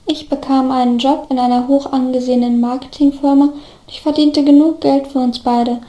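A woman voicing a devoted, earnest young man in storybook dialogue, gently reassuring his best friend. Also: high voice, little pitch movement, fairly quick, roomy sound, lightly acted. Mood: wistful.

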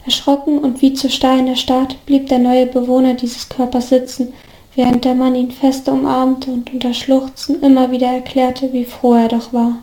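A woman's voice: high-pitched, monotone, moderate pace, dark tone, echoey room. A woman narrating a scripted story.

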